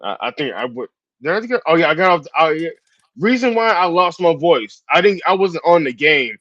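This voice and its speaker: A man chatting as a podcast guest, laid-back and repeating himself, with a hoarse edge. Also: a little high, expressive, normal speed, fairly bright tone, no echo. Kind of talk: casual chat. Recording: dry, dull lo-fi sound.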